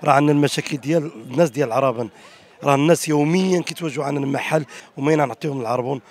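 A man's voice: fairly high pitch, rapid-fire. A middle-aged man complaining in a street interview outdoors. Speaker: interviewee, events business owner. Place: outdoors by a gate, large plaza. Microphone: handheld reporter microphone.

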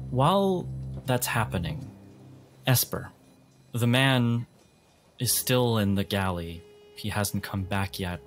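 A man narrating a tabletop game as game master in a deliberate, atmospheric, descriptive way. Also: medium pitch.

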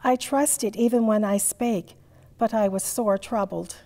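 A woman's voice: medium pitch, steady tone, warm tone. Robed woman priest reciting in a church. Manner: measured, formal recitation. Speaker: priest leading the service. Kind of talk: liturgical reading. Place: large church sanctuary with stained glass. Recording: long church reverb.

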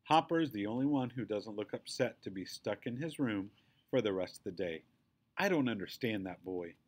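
A man reading a children's book aloud as an expressive narrator. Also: lowish voice, moderate pace, husky.

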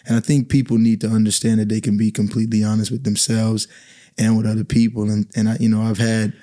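A man from the Bahamas with a low, mellow voice speaks in a calm, even way. He talks thoughtfully as a guest into a studio boom mic. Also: fast talker. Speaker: basketball coach, podcast guest. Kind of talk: podcast conversation. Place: small podcast studio. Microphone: studio mic on boom arm.

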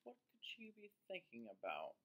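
A man in his thirties reading a picture book aloud to children like a teacher, with an exaggerated, drawn-out delivery. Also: sits high, huge pitch swings, bright, forceful tone. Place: small indoor room, seated facing camera.

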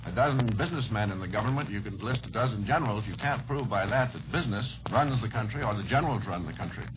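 A man's voice: lowish voice, expressive, fast. A man in a 1940s radio debate, speaking as a formal, polite but combative panelist. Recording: noisy, muffled 1940s radio recording.

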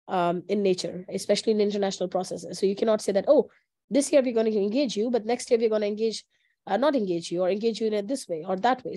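A young woman answering as a youth panelist on a video call, making her argument deliberately in short phrases. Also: medium pitch, warm tone, no echo. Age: young adult.

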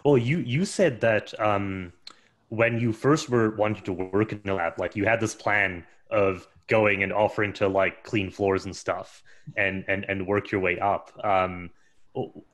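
A man co-hosting an interview over a video call, asking long, measured questions with some hesitation. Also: lowish voice, steady tone, fairly bright tone. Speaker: managing editor, co-host. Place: indoors. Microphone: headphones on video call.

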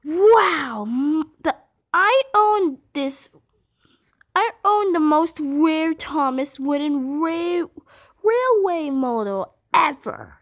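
A woman voicing a cheeky young boy penguin in a cartoon fan dub, who gets excited, turns bratty and stumbles over words. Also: high voice, extremely slow, heavily acted. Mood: boastful.

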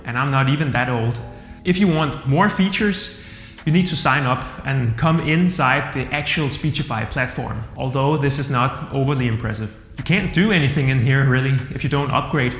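A man in his thirties with a Danish accent reviewing software to camera in an upbeat, plain-spoken way. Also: mid-range voice. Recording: roomy hall-like echo with soft music.

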